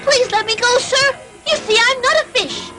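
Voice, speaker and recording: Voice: very high voice, normal speed, low-quality recording. A performer voicing an innocent, earnest wooden puppet boy in a dubbed cartoon, childlike and polite. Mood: pleading. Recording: loud music behind the voice.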